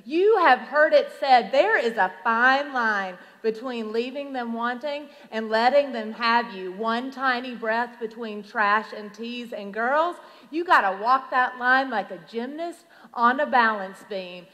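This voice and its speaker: Female spoken-word poet, higher-pitched, dramatic and rhythmic, heard in a big echoing hall. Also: fairly bright tone. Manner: emphatic, dramatic delivery with drawn-out phrases. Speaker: reverend performing slam poetry. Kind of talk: rhythmic slam poetry recitation. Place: large hall at a youth conference. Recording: reverberant large hall.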